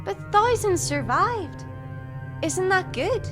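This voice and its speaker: Woman as young student companion, high voice, slow pace, teasing, curious, audio drama, music underneath. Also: animated, full character voice. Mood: concerned. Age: young adult. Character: plucky young female student, cheeky and curious.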